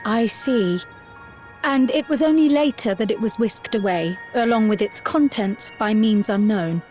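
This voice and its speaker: Actress as a calm brainy game character, higher voice, very precise, soft music behind. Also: female voice, unhurried, natural voice. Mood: analytical. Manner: precise, formal. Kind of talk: scripted video game cutscene acting.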